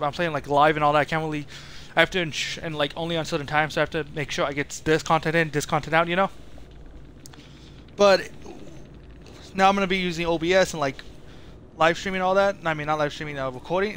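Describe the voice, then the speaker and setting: A man with a fairly high voice talks very quickly over some background noise. He sounds like a streamer chatting off the cuff to viewers. His sentences ramble and he often corrects himself midway. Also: fairly even intonation, a bit bright. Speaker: gaming streamer and video creator. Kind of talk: casual chat, video outro. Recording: noisy livestream capture.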